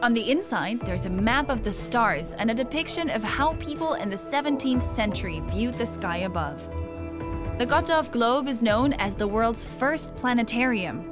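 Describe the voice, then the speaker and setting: A young adult woman presenting a travel show, speaking in clear, measured scripted narration. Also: on the high side.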